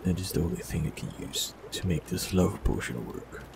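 A man with a very deep, gravelly voice speaks calmly and gently to the listener. His delivery moves freely up and down. A clear hiss sits under the recording. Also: normal speed. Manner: calm, gentle, reassuring. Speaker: warlock in an audio roleplay. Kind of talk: scripted audio roleplay, speaking to the listener.

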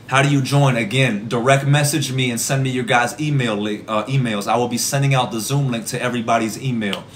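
A young man in his twenties, an evangelist from the American Midwest, praying fervently in a rhythmic, repeating cadence with a voice gone hoarse. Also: medium pitch, steady tone, brisk, a bit bright, a little hiss or hum. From Rockford, Illinois. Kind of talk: livestream prayer and preaching. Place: small indoor room at home. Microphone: phone camera at arm's length.

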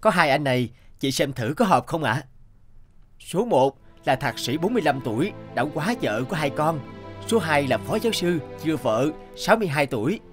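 A man's voice: fairly high pitch, big pitch swings, moderate pace. A man voicing a polite, hesitant young matchmaking agent in a cartoon. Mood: apologetic, tactful. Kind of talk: cartoon voice acting. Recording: music behind the voice.

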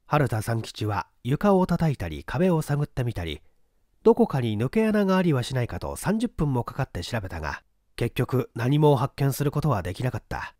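A man's voice: medium pitch, sing-song, normal speed, darkish tone, no echo, studio quality. A man reading mystery fiction aloud as a dramatic literary narration. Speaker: audiobook reader.

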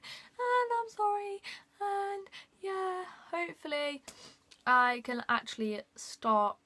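A woman's voice: extremely high pitch, takes it slowly, pushed and bright. A young woman vlogging casually from her bedroom, rambling with lots of fillers and little giggles. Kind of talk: casual vlog chat. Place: bedroom, indoors. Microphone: phone camera at arm's length.

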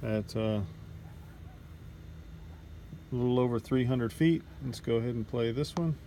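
A man's voice: medium pitch, measured pace, dark tone. A man casually narrating a field test off the cuff, thinking aloud as he works, recorded outdoors on a cell phone. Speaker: vlogger testing drone gear. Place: outdoors at night in a neighborhood. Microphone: handheld cell phone. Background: outdoor ambience and passing vehicle noise.

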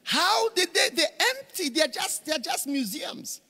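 A man's voice: very high voice, measured pace. A middle-aged man preaching at a conference on a stage, telling stories with many repeated phrases, speaking into a handheld mic. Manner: animated storytelling with repeated phrases. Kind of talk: conference sermon. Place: large church stage with hall reverb. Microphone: handheld microphone. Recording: reverberant hall.

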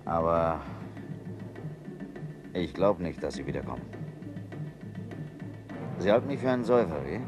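An actor playing a proud, bitter leper dying alone, with a sardonic, halting delivery in a radio play. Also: male voice, low voice, big pitch swings, dull audio. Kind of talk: radio drama acting. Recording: radio play with music underneath.

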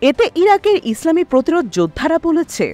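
A woman's voice: extremely high pitch, sing-song, quick pace, dark tone. A woman delivering scripted news narration as a news reader. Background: background music.

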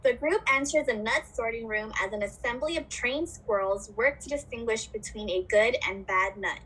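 A woman's voice: fairly high pitch, moderate pace, fairly bright tone, breathy edge, poor audio. Female narrator for a puppet play, scripted storytelling read.

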